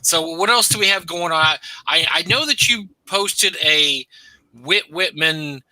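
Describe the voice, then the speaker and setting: A man's voice: a little high, a little slow, sharp tone, no room sound, low-quality recording. A man co-hosting a casual livestream, talkative and often restarting his phrases. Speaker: host.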